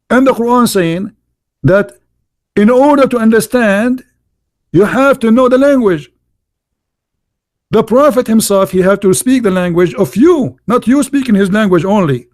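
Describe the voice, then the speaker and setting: A man whose first language is Arabic speaks English with an Arabic accent and a slightly higher-than-usual pitch. He argues in a pointed, mocking way and repeats his points for emphasis. His grammar slips in the way a non-native speaker's does. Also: darkish tone. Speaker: live-stream host debating callers. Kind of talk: heated religious debate talk.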